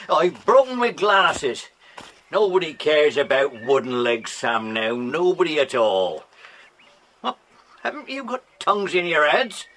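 A man's voice: fairly high pitch, very animated, a little slow, fairly bright tone, slight background noise. An actor playing a cranky, spooked old railway watchman with a muttering, erratic manner in a children's audio drama. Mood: grumbling, agitated. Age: older.

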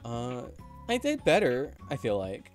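A young man streaming, narrating and chatting casually in a playful, animated manner. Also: mid-range voice, a little slow, soft background music. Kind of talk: casual livestream chat and game narration.

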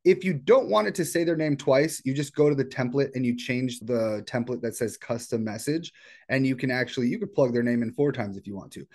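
A man presenting an informal step-by-step software tutorial in a casual, explanatory way. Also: mid-range voice, a little fast. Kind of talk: casual screen-share tutorial. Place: indoors at a computer.

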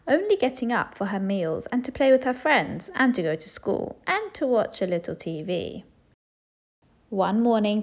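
A woman's voice: mid-range voice, darkish tone. A woman reading a children's storybook aloud expressively, speaking directly to young listeners. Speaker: storybook reader. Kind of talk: children's read-aloud narration.

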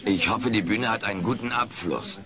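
A man's voice: on the low side, moderate pace, crowd noise, natural voice. A man playing a smug, wisecracking furry alien comedian in a German audio drama. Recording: noisy old cassette audio drama.

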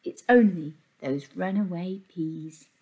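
A woman's voice: fairly low pitch, takes it slowly, mellow, breathy edge. A woman reads a picture book to young children with sing-song emphasis, like an infant teacher. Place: small indoor classroom.

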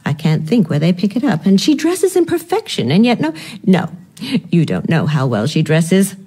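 A woman's voice: fairly low pitch, very animated, moderate pace, dark tone, husky. A woman playing a haughty, disapproving upper-class aunt in a period radio drama, speaking with formal, dry disdain. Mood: scornful.

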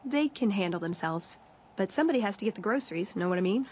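A woman's voice: medium pitch, expressive, fast, a little mellow, a little hiss or hum, lightly acted. A woman playing a friendly, wry young scavenger companion in a video game, chatty and playful. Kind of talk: video game dialogue acting.

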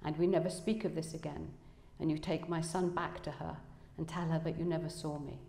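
A woman's voice: low-pitched, fairly even intonation, brisk, gravelly, warm tone. A woman voicing an anxious man in love who tries to sound authoritative, earnest and defensive, in a novel reading. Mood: firm. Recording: echoey room, public reading.

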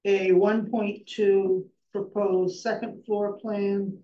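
A middle-aged woman chairing a town zoning board, reading out motions slowly and carefully in a formal tone. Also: medium pitch, flat delivery. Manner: measured, reads legal text slowly and carefully. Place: town hall meeting room. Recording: distant, dull echoey public-access meeting audio.

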